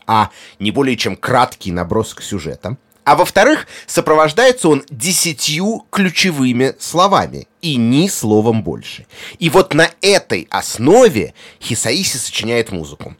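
A man presenting a scripted explainer in an erudite but conversational way, addressing the listener directly with rhetorical asides. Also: medium pitch. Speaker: host and narrator of a music explainer.

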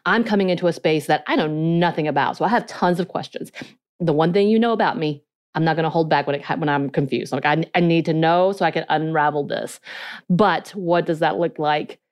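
A woman's voice: deep voice, brisk. A woman co-hosting a podcast, speaking candidly with a light blend of Korean and North Georgia mountain accent, analytical and self-questioning. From United States, North Georgia. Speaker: podcast co-host. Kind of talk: casual podcast chat.

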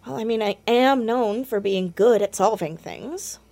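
A woman's voice: fairly high pitch, unhurried, vocal fry, darkish tone. A young woman playing a blunt, sardonic, self-conscious teenage girl in a naturalistic audio drama, easily exasperated. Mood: subdued.

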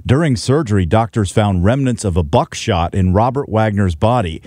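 A man's voice: lowish voice, lively intonation, normal speed, mellow, no room sound, studio quality. A man reading a scripted radio news report as a reporter.

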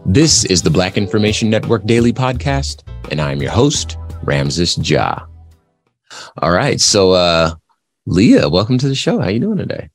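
A man's voice: on the low side, very animated, measured pace, faint music behind. A man hosting a podcast interview, effusive and loose, with fillers and repeated words. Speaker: podcast host. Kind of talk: conversational interview.

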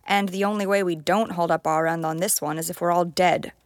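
A woman's voice: lowish voice, fairly even intonation, brisk, no room sound, studio quality, close to an everyday voice. An actress playing a tough, sardonic, foul-mouthed young woman who leads a team in a fantasy audio drama. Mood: firm, reassuring. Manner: blunt, sardonic.

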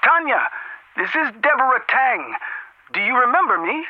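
Fretful older woman on a dull phone line, voiced by a man, high and swooping. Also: very animated, normal speed, dry recording. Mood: worried. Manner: anxious, pleading. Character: worried older woman, an old family neighbor. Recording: muffled telephone line sound.

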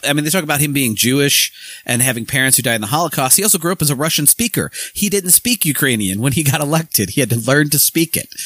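A man's voice: fairly high pitch, no echo. Rambling male podcast co-host. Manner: rambling, restarts words, lively emphasis. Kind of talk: casual political podcast chat and reading aloud.

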